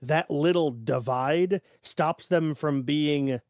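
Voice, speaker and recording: A man's voice: fairly high pitch, slow, a little mellow, no room sound, studio quality. A man co-hosting a podcast, giving opinions in a casual, conversational way peppered with "like". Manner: conversational, frequent use of "like". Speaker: podcast co-host.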